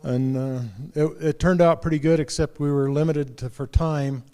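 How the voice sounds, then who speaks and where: Mellow-voiced man running a club meeting. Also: on the high side, moderate pace, clear, resonant tone. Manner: relaxed, rambling with fillers and restarts. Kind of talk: casual announcements at a club meeting. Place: meeting room.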